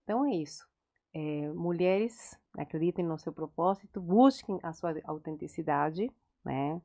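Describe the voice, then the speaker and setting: A woman's voice: fairly low pitch, expressive, unhurried. A woman with a regional accent co-hosting a psychology podcast, speaking encouragingly in a casual conversation. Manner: warm-hearted, encouraging, lots of "né".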